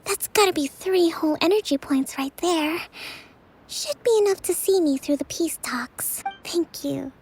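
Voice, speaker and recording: A gentle young island leader. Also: female voice, very high-pitched, a little slow. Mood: calm. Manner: soft-spoken, polite. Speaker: military leader. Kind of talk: video game voice acting. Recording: game music behind.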